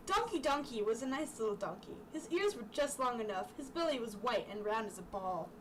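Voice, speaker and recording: A young woman is heard at some distance from the mic over clear background noise. Her voice is fairly high, and she reads aloud in a casual, chatty style, often breaking off into giggly asides. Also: expressive, a little fast. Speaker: amateur vlogger reading childhood books aloud.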